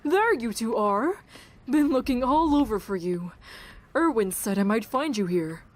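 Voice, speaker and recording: Chatty actress as a higher-voiced woman doctor. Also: lively intonation, unhurried. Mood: breezy. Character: chatty, perceptive female camp doctor in zombie apocalypse. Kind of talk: audio drama dialogue.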